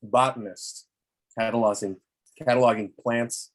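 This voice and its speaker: A man playing a menacing, obsessive old explorer in a table read, theatrical and deliberate, with a husky voice. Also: mid-range voice, fairly even intonation, close to an everyday voice. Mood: coldly menacing.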